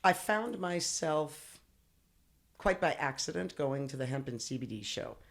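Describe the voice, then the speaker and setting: Gravelly woman telling a rowdy story. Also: sits low, unhurried. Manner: profane, laughing, animated storyteller. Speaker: podcast guest. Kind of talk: casual storytelling chat.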